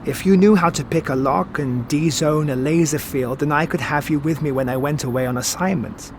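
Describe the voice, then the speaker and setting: An actor playing a suave, sardonic middle-aged master thief and mentor in an audio drama, with florid, theatrical phrasing. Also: male voice, on the high side, normal speed, noisy background, natural voice. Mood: tender. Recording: music behind the voice.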